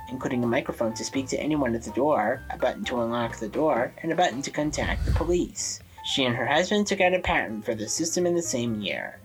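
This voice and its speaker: A woman's voice: rumbling low, rough recording. A woman presenting a scripted history segment for a podcast.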